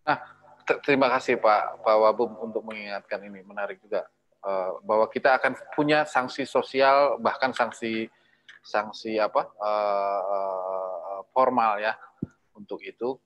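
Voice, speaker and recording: A man moderating a casual discussion, talking haltingly and often repeating words. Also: fairly low pitch, a bit bright, highs cut off. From Indonesia. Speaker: host, moderator.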